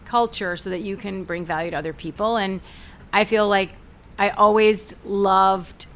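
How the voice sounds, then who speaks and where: A middle-aged American woman, a guest in a talk-show interview, telling stories in an energetic, animated way. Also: medium pitch, normal speed. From United States. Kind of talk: conversational interview.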